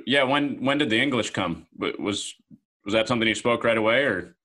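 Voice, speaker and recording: A man's voice: fairly low pitch, brisk, pushed and bright. A man interviewing a guest, asking chatty questions and repeating words as he goes.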